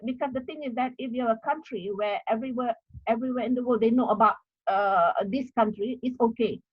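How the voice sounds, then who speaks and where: A woman's voice: a little high, husky, no room sound, lo-fi sound. A middle-aged woman from Malaysia with a Malaysian English accent, giving an academic talk on article writing, repeating herself with many fillers. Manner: repetitive, explanatory, many fillers. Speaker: university lecturer.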